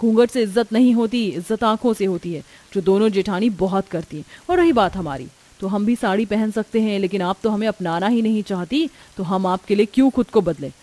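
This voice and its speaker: A woman voicing a brash, playful, outspoken young daughter-in-law in a story, with a lively manner. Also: medium pitch, brisk, few pauses, natural voice. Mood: indignant.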